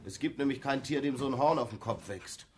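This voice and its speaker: An actor playing a grumpy, stubborn young adult son in a German satirical radio play, dismissive and sardonic. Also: male voice, mid-range voice, fairly quick, breathy edge, natural voice. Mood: stubborn disbelief. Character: grumpy, sceptical, stubborn son in a quirky family.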